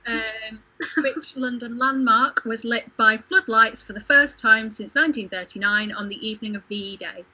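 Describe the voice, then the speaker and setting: Casting: female quiz host, higher-pitched and bright, informal and chatty delivery. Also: normal speed, rough recording. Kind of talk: casual livestream quiz chat. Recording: home livestream video call.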